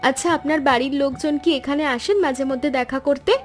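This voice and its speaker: A woman's voice: sits high, fairly even intonation, quick pace, soft background music, lightly acted. A woman voicing a caring, hardworking young granddaughter who serves at the family shop in a cartoon, polite and earnest. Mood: polite, friendly. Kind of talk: cartoon story dialogue.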